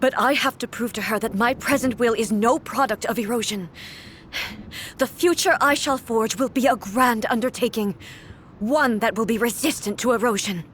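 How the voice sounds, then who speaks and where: A woman's voice: on the high side, normal speed, slight background noise, close to an everyday voice. An actress voicing a cold, commanding woman ruler in a video game, with an imperious, clipped delivery. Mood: solemn. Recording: loud game music behind.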